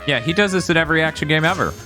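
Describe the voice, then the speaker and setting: A man's voice: on the high side, animated, rapid-fire, music in the background. A man from the United States hosting a game stream, chatting casually and joking, with frequent laughs and swearing. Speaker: streamer host. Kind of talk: casual chat over gameplay.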